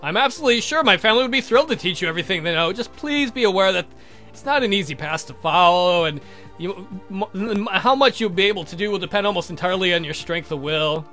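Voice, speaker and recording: A man's voice: sits high, expressive, fast talker, sharp tone, faint music behind. A man voicing a courtly adult vampire count in a visual novel, earnest and doting, with formal, gallant speech. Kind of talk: voiced visual novel dialogue.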